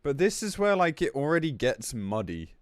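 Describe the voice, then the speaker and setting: A man's voice: fairly high pitch, big pitch swings, normal speed, no echo, studio quality. A man live-streaming in a casual, blunt, exasperated way, commenting between bits of reading aloud and swearing freely.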